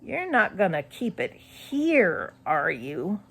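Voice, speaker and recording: A woman's voice: medium pitch, wildly swooping pitch, takes it slowly, a bit bright, slightly rough, heavily acted. A woman voicing a squeamish, disapproving young girl in a children's audiobook. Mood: disgusted, uneasy.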